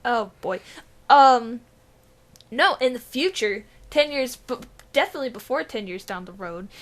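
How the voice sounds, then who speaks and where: Young female podcast co-host, slightly high voice, giggly and profane, rambling. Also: animated, moderate pace. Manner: giggly, swears freely, trails off hunting for words. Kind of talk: casual podcast chat.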